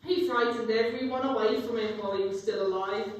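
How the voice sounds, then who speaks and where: A brazen old charwoman played by a young woman speaks in a high voice with scornful, defiant stage delivery. She sounds theatrical and unrepentant. The recording is distant, with heavy room echo and a faint noise underneath. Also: fairly even intonation, fairly quick, lightly acted. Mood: gloating. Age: young adult. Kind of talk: theatrical dramatic reading of Dickens. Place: live performance in echoey castle museum room.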